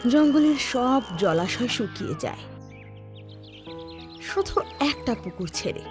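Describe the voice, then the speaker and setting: Children's story narrator, female: higher register, very expressive intonation, light music underneath. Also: a little slow.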